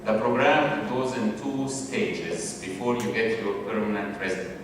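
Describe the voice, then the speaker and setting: A man from Egypt with an Egyptian accent giving a public presentation, halting with fillers and restarts. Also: lowish voice, noisy background. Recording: echoey hall from far off.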